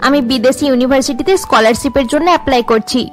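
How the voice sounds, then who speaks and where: A woman's voice: sits high, quick pace, faint music behind, natural voice. A woman voicing a confident, studious teenage girl in a cartoon, polite and self-assured. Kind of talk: melodramatic Bengali cartoon dubbing.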